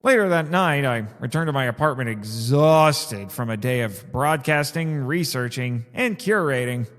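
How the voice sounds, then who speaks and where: A man with a theatrical, excitable delivery, acting and narrating a scripted first-person drama. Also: mid-range voice, normal speed. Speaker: host and narrator of a fiction podcast.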